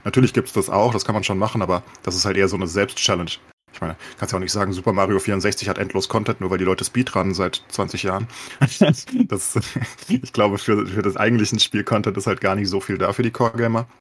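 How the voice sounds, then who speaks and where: Male podcast co-host, lowish husky voice, casual and opinionated, peppers with English gamer terms. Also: fairly even intonation, fast talker. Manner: mixes English gaming slang into German. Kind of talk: casual opinionated gaming chat.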